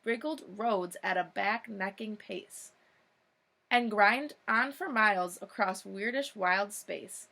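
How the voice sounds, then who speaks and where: A woman's voice: mid-range voice, slow, vocal fry, bright, forceful tone. A young woman, a teacher, reading a children's picture book aloud expressively, with short informal asides.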